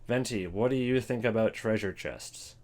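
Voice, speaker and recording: Guy with a lowish voice just casually ranking stuff, giving his opinions. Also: normal speed, a little mellow, a little hiss or hum. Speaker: host of a game voice line ranking video.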